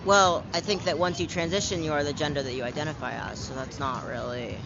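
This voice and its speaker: A young man's voice, pitched a touch higher than usual for a guy, talking in a loose, unfiltered way with plenty of "like" and "um." He's recorded outdoors on a city street, and the sound is muffled under steady traffic noise. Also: quick pace. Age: young adult. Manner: candid, chatty, frequent fillers and swearing. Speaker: interviewee. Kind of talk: casual street interview.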